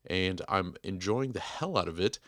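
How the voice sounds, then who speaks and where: A man in his forties hosting a podcast, giving a rambling, self-deprecating intro with emphatic, clearly enunciated speech. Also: low voice, moderate pace, fairly bright tone, dry recording, clean studio sound. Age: 40s. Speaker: podcast host. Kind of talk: casual podcast intro monologue.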